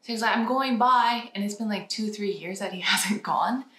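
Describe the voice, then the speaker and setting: A woman co-hosting a casual chat, explaining things in a relaxed way with plenty of fillers. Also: mid-range voice, moderate pace, reverberant, slight background noise. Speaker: co-host of a reaction channel.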